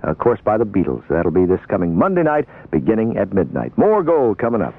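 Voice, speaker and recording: A man with a raspy voice working as a radio DJ, chatting and rambling through oldies memories with fillers. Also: fairly low pitch, huge pitch swings, fairly quick. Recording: tinny narrow-band radio aircheck.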